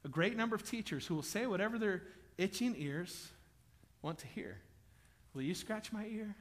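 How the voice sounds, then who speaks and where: A man preaching a sermon in a church auditorium, chatty and joking with the congregation, then building to loud, emphatic bursts. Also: high-pitched, animated, moderate pace, in a large hall. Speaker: pastor.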